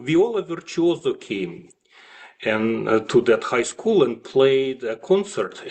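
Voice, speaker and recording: A man from Russia with a Russian accent, telling his story as an interview guest in a halting way with frequent pauses. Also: mid-range voice. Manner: halting, with frequent pauses and slight grammatical slips. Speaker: guest, classical violist and music professor.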